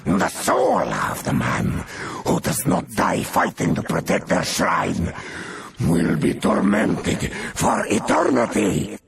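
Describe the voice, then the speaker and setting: A man playing a grim, devout human warrior in a fantasy game, gravelly, with music behind him. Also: deep voice, huge pitch swings, slow pace, crowd noise, exaggerated voice.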